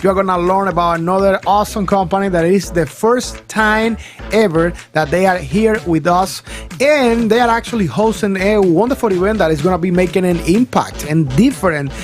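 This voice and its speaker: High-voiced hyped bilingual radio host. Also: male voice, fairly quick, faint music behind. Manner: upbeat, hyped announcer switching between English and Spanish. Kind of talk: bilingual morning radio show. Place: radio studio.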